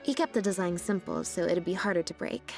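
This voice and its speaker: Woman playing a deadpan young magician's assistant, music behind. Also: fairly low pitch, brisk, lightly acted. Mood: matter-of-fact. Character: laid-back, deadpan young female stage magician's assistant. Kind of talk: video game voice acting. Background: game background music.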